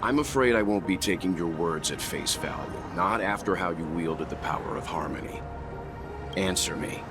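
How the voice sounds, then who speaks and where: Low stern suspicious guard from a game, bossy n formal, music loud behind. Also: male voice, lively intonation, normal speed. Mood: stern, distrustful. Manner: commanding, distrustful, formal. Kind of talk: dramatic video game cutscene dialogue.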